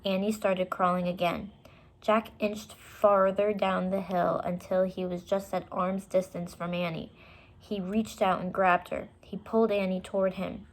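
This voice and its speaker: Female children's storybook reader, unhurried pace, expressive and dramatic, mid-range pitch. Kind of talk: expressive read-aloud narration.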